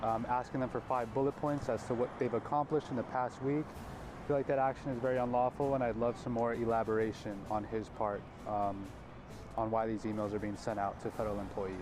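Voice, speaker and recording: A young man from Los Angeles, a university student, recorded outdoors for a vox-pop clip. He speaks earnestly at a mid pitch while clear music plays in the background. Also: flat delivery, fairly quick. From Los Angeles, California. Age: young adult. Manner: earnest, with ums.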